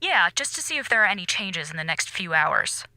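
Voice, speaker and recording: An actress playing an earnest, formal space station commander in an audio drama, serious in manner. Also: female voice, on the low side, sing-song, fairly quick, pushed and bright, no echo, heavily acted. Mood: uneasy, earnest.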